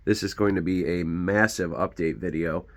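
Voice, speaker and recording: A man's voice: sits low, steady tone, moderate pace. A man with a congested, sick voice giving a casual, rambling show-and-tell as host of a collection channel.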